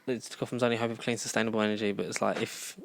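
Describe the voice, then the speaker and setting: A man's voice: fairly low pitch, level tone, racing, gravelly. A man co-hosting a podcast, chatting casually and rambling with lots of fillers. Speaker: podcast co-host. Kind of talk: casual chat.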